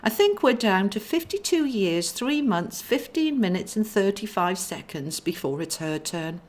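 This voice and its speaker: A woman's voice: mid-range voice, a little slow, voice pushed up. A woman voicing a fretful, protective young female guardian angel in a storybook, chatty and earnest. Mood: worried. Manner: chatty, earnest, easily excited.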